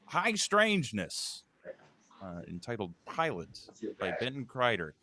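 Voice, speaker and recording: A man's voice: medium pitch, huge pitch swings, measured pace, bright, forceful tone, slight background noise. A man hosting an informal live script read, talking casually and narrating stage directions. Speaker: host, writer and stage-direction reader of a live table read.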